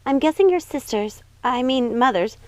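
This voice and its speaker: A woman voicing a gentle, sweet young woman in an audiobook, speaking in an earnest, reassuring manner. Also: sits high, lively intonation, normal speed, dry recording, voice pushed up. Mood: eager, earnest. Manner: soft-hearted, earnest, reassuring. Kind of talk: audiobook character voice.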